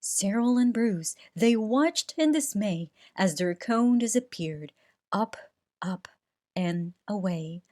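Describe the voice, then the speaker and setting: A woman reading a rhyming children's story aloud in an expressive, theatrical way with deliberate phrasing. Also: mid-range voice. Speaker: storybook reader.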